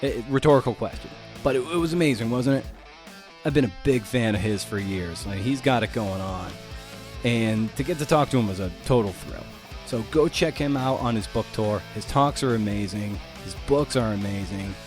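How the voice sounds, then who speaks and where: Man, podcast host, conversational and slangy, average pitch, guitar music behind. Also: normal speed. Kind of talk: casual, chatty podcast hosting.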